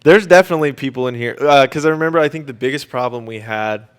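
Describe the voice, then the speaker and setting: A man's voice: medium pitch, fast, clean tone. A man in his twenties hosting a student radio show, chatting casually in a joking, teasing way. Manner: jokey, teasing. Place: community radio studio.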